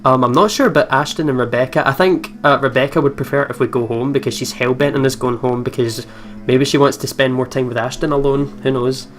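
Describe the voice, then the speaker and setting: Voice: mid-range voice, fairly even intonation, fast talker. A let's player reading out visual novel narration and adding casual commentary. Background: soft guitar game music.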